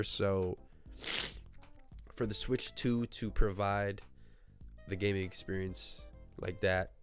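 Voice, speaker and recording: A man's voice: lowish voice, clear, resonant tone. A man with a stuffy nose from a cold, chatting casually on his gaming channel in a rambling, self-mocking way with jokey asides. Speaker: gaming video host. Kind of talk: casual solo speculation to camera. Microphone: desk microphone.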